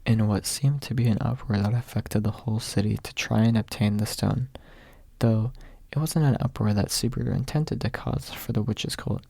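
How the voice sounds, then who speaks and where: A man narrates a story in ASMR style. His voice is dark and relaxed, with plenty of fry. The sound is very dry and intimate, close to the mic. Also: medium pitch, no echo. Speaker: audiobook narrator. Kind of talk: ASMR audiobook reading.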